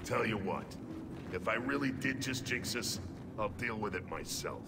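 Actor as gruff veteran soldier in noisy battle. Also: male voice, lowish voice, normal speed, lightly acted. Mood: irritated. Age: older. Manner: terse, grumbling, deadpan. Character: gruff veteran soldier father, weary and stubborn. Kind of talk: video game acting. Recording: loud game sound effects behind.

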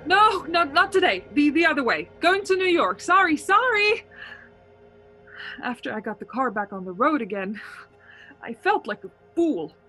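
A woman's voice: high-pitched, big pitch swings, moderate pace, sharp tone, soft background music, heavily acted, rough recording. A woman playing a haunted, anxious young driver who tells her story in a suspense radio play, earnest and nervous. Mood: flustered, then brooding dread.

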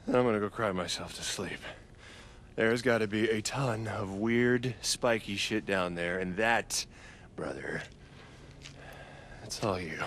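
An uptight American policeman, the exasperated older brother in a video game. His voice is low, his manner wry and earnest, over background noise. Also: measured pace, pauses often, fairly bright tone.

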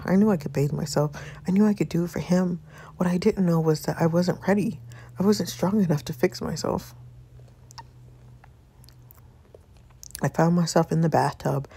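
A woman voicing a grieving young wife in first-person story narration, halting, hushed and anguished. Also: low voice, slightly rough. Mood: pained, reflective. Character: grieving young wife confessing pain and regret.

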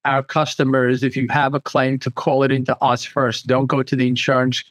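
A man's voice: mid-range voice, little pitch movement, brisk, a bit bright, no echo. A man, an insurance agent guest, talks earnestly and with emphasis in an interview. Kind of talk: conversational interview.

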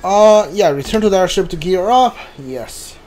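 A game streamer giving casual playthrough commentary, thinking aloud in a halting way. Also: medium pitch, animated, darkish tone, slight background noise. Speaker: gameplay streamer. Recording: game music behind the voice. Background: video game music and sounds.

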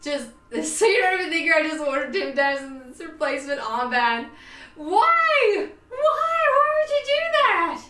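A young Australian woman chatting to her livestream viewers, animated and self-mocking, laughing often. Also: high-pitched, unhurried. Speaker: livestream host. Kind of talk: casual livestream chat. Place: home sewing room. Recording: roomy, distant, faint music behind.

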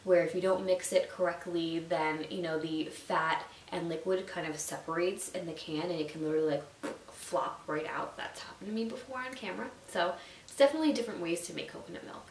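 A woman's voice: lowish voice, brisk. Stuffy-nosed young woman vlogging from a sofa. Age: young adult. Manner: chatty, lots of fillers like "you know" and "like". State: stuffy, slightly nasal from a cold. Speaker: YouTube nutrition vlogger. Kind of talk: casual explainer talking to camera. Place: indoors at home, seated on a sofa. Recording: roomy echo, distant mic, faint hiss.

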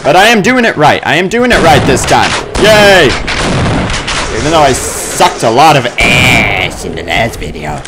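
A man doing casual let's play commentary, excitable and exclamatory, with swearing and silly made-up words. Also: mid-range voice, a little slow. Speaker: gamer playing a first-person shooter. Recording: game gunfire and noise behind voice. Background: video game combat sounds.

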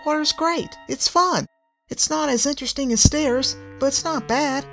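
A woman doing a squeaky, bubbly young hippogriff girl. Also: normal speed, creaky, a bit bright, soft background music. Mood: excited, flustered. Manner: squeaky, gushing, panicky.

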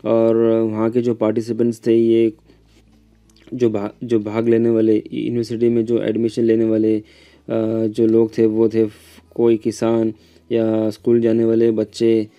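Slow, mellow-voiced male teacher. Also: lowish voice, monotone, no room sound, dull audio. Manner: reads English lines slowly, then explains in quick Hindi. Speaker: English lecturer. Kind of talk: explaining a textbook lesson in Hindi, reading English lines aloud. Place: voice-over on a scrolled textbook page.

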